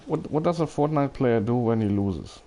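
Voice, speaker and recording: A man's voice: mid-range voice, lively intonation, normal speed, dark tone, a little hiss or hum. A man chatting casually as he livestreams a video game, often hesitant and thinking aloud. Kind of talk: casual rambling game stream commentary, chatting with viewers.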